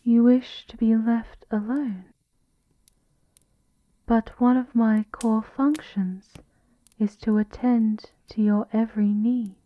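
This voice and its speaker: Woman as a very slow, obedient servant construct. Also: a little high, steady tone, lo-fi sound. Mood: polite, patient. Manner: slow, formal, measured, softly spoken. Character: dutiful female servant construct, polite, literal, eerily obedient. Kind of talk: ASMR roleplay acting.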